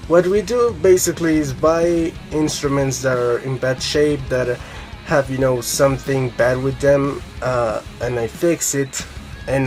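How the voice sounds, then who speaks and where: Colombian-accented young man rambling casually. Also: medium pitch. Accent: Colombian Spanish. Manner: rambling, lots of fillers, swears casually. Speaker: guitar vlogger. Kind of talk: casual rambling monologue to camera.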